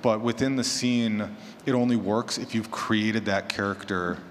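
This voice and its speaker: Low-voiced man on an echoey panel. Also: fairly even intonation, unhurried. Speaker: horror fiction podcaster on a panel. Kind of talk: casual panel discussion. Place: large echoey room at a convention panel. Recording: echoey hall, faint background noise.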